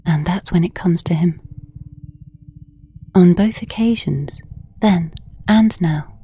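A woman narrating a scripted story in an intimate, hushed and measured manner, pausing between short phrases. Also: lowish voice, soft background music. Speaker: narrator of an audio drama.

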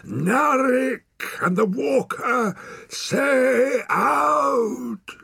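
A man's voice: extremely high pitch, very animated, very slow, vocal fry, slightly rough, no room sound, clean studio sound, voice pushed up, full character voice. A man voicing a huge, raging, toothless wild man in an audiobook, roaring and snarling threats in a strained voice. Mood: bellowing threat. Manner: bellows and roars, speaks of himself in third person. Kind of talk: audiobook character acting.

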